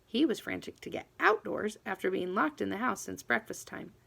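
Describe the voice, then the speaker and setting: A woman reading a children's novel aloud in an expressive, animated first-person storytelling manner. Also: mid-range voice, normal speed, vocal fry, husky. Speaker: audiobook reader.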